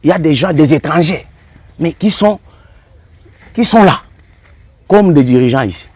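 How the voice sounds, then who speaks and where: High-pitched, animated Congolese man arguing insistently. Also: moderate pace, slightly rough, dry recording. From Democratic Republic of the Congo. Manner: insistent, emphatic, repeats phrases and poses rhetorical questions. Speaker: interview guest. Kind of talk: political and legal argument in a TV interview.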